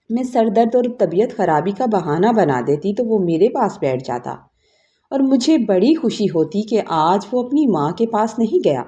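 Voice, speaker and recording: A woman's voice: mid-range voice, warm tone. A woman narrating a scripted first-person story in an emotive storytelling manner.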